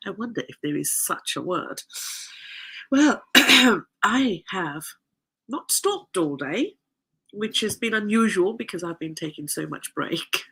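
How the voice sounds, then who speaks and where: A woman with a nasal, gravelly voice talks slowly and chattily to her listeners. Her pitch rises and falls freely, and her tone is slightly husky, with plenty of fillers and restarts. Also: lively intonation, low-quality recording. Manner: chatty, rambling, frequent fillers and laughs. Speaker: podcast host. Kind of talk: casual solo talk.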